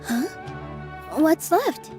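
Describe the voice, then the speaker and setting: Gentle, high-voiced young performer. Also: female voice, very animated, drawn-out words, over music, full character voice. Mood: nervous resolve. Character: gentle, earnest young woman theater dancer. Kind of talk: anime game voice acting.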